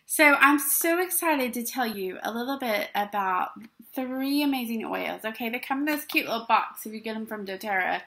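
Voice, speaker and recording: Upbeat middle-aged woman, slightly high-pitched, casual chatty vlog delivery. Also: animated, moderate pace, pushed and bright. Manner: bubbly, chatty, many fillers and "okay?" check-ins. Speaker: essential oils vlogger. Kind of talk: casual talk to camera. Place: small dim indoor room.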